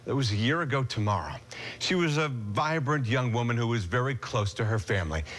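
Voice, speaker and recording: A man's voice: medium pitch, animated, a little fast, a bit bright. American man anchoring morning TV news. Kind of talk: TV news reading with casual banter.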